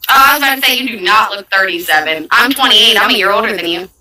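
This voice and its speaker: A woman's voice: mid-range voice, animated, fast, very noisy. A woman, chatting playfully and teasing her conversation partner. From Virginia, United States. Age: 20s. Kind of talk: casual chat. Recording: echoey, distant, busy background. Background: dog barking.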